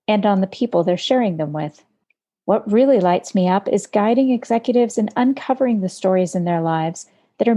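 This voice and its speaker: A woman hosting a podcast, introducing her guest and chatting with her in a relaxed, searching way. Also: medium pitch. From United States. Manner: warm, talkative, searching for words with fillers. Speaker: podcast host. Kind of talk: conversational interview. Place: home studio in Montana.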